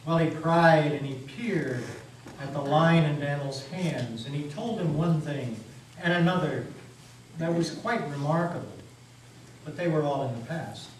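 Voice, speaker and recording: A man giving a deliberate, folksy dramatic story reading in a meeting house hall. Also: a little high. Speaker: reader in a staged dramatic reading. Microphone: distant microphone. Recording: roomy live hall, distant mic, faint noise.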